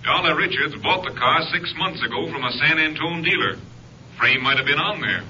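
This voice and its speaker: A very sharp, forceful American police captain, brisk and businesslike. His voice comes through a muffled old radio recording with faint noise. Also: on the high side, expressive. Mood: brisk reporting. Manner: crisp, official, businesslike. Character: brisk male police captain reporting in, efficient. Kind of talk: 1950s radio drama acting.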